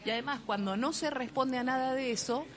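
A woman's voice: high-pitched, brisk, a crowd in the background. Chatty Argentine woman doctor on radio. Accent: Argentine Spanish. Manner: lively, explanatory, chatty. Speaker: doctor giving health advice on radio. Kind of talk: radio health talk. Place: radio studio.

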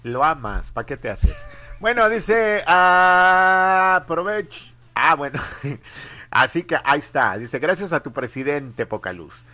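A man hosting a morning radio show with a voice a touch higher than most men's. He reads listener messages aloud and teases his co-host in a playful, joking way. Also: big pitch swings, slow, pushed and bright.

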